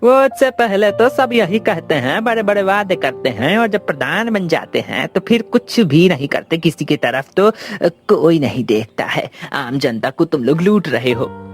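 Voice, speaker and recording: A man voicing a distrustful villager in an animated moral story, complaining in an accusing way. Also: fairly high pitch, unhurried. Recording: background music under the voice.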